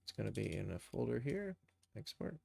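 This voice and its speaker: A man's voice: medium pitch, sing-song, fairly quick, vocal fry, darkish tone, slightly rough, no room sound. A man streaming a casual tutorial, thinking aloud informally as he works. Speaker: streamer, game developer and animator. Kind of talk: casual live screen-share tutorial.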